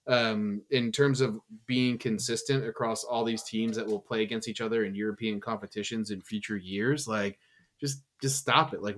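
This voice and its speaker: A blunt man co-hosting a casual podcast with a middle-pitched voice. He speaks his mind freely, swearing and joking as he goes. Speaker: podcast co-host. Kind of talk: casual chat.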